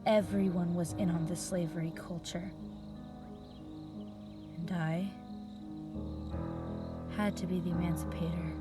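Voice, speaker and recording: A woman playing a traumatized, vengeful mage in a dramatic audio roleplay monologue, speaking with emotion in deliberate, halting phrases. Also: fairly low pitch, little pitch movement, natural voice. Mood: cold, resolute. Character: traumatized, vengeful female mage recounting her past. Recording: music bed behind the voice.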